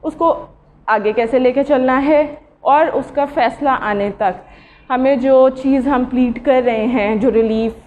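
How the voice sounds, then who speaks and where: Female law lecturer, Pakistani accent, high voice, halting delivery with English terms mixed in, dull lo-fi recording. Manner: halting, mixes Urdu and English legal terms. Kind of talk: informal lecture on evidence law. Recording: dull lo-fi audio.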